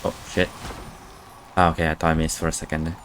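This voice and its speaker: A man's voice: rumbling low, level tone, fast, a bit bright, slight background noise. A man streaming a video game, giving casual, reactive commentary as he mutters to himself and swears now and then.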